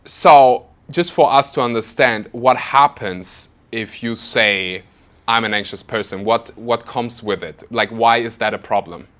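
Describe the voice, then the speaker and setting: Male podcast host, German native speaker, chatty and animated, self-deprecating storyteller. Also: mid-range voice, a little slow. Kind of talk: casual interview conversation.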